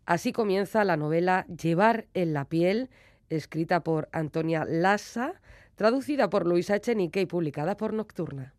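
A woman's voice: fairly low pitch, animated, moderate pace, darkish tone. A woman hosting a radio book programme, delivering a semi-scripted review in a narrating style.

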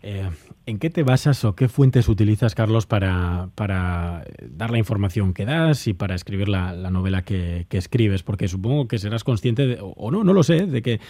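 A man's voice: fairly low pitch, expressive, moderate pace, warm tone, no room sound. A man with an accent from Spain hosting a live radio interview, feeling his way through questions and rephrasing them as he goes. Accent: Spanish from Spain. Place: radio studio.

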